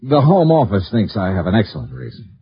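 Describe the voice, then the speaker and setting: An actor playing a brisk, wry male insurance investigator in a 1950s radio detective show, clipped and businesslike. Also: fairly low pitch, huge pitch swings, breathy edge, no echo, heavily acted. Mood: probing. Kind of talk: 1950s radio detective acting and first-person narration. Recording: muffled 1950s radio recording.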